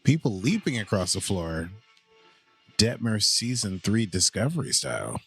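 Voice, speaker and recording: A man's voice: fairly low pitch, very animated, moderate pace, creaky, fairly bright tone, soft background music. A man co-hosting a podcast, giving playful, goofy watchalong commentary and laughing often. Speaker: podcast co-host.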